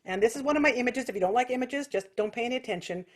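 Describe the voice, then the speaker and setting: A woman's voice: on the high side, racing. A woman giving an informal singing lesson as a vocal technique teacher, explaining things with vivid imagery.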